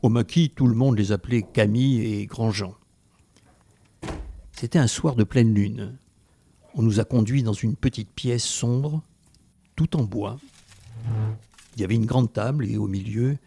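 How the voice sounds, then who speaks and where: A man's voice: mid-range voice, creaky, warm tone. An actor playing a reflective French Resistance fighter who recounts his wartime memories as first-person narration in an audio drama, recorded over music.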